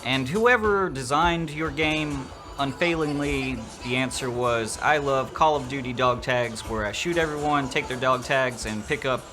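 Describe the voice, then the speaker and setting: A man's voice: medium pitch, a little slow, hardly any pauses, over music. A man narrating an opinionated video game review in an informal, slangy, sarcastic way.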